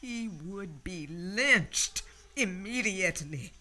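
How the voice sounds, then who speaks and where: A woman's voice: medium pitch, animated, takes it slowly, sharp tone, soft background music. A woman narrating a radio drama in a solemn, emphatic and intense way. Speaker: storyteller.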